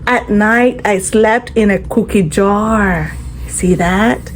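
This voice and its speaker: A woman reading a children's picture book aloud in a teacherly way, pausing to quiz and praise her young listeners. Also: mid-range voice, a little hiss or hum.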